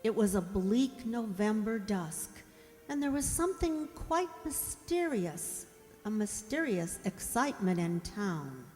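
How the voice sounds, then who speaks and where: A woman in a radio drama playing a gentle, anxious young mute servant who voices her thoughts with breathy, wistful drama. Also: mid-range voice, sing-song, a little slow, faint music behind. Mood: haunted, wistful. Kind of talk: radio drama narration and inner monologue. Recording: echoey hall with long reverb.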